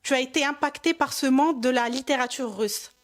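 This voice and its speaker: A woman with a Moroccan accent hosts a podcast interview in a high, bright voice. She talks at a rapid clip, moving easily between French and Moroccan Arabic as she asks her questions. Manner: switches between French and Darija, quick intro. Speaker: podcast host.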